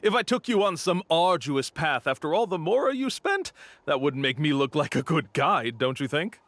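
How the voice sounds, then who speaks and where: An actor playing a brazen, smooth-talking con-artist tour guide in a video game, theatrical, glib and smug. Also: male voice, on the high side, very animated, moderate pace, fairly bright tone, no echo. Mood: glib salesmanship.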